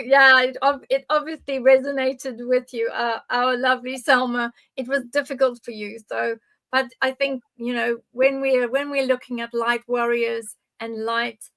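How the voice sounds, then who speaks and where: A woman's voice: a little high, gravelly, fairly bright tone. An older woman in her sixties or beyond, talking conversationally to live viewers as a spiritual guide, explaining things in an encouraging way. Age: 60s or older. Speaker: podcast guide speaking on spiritual topics.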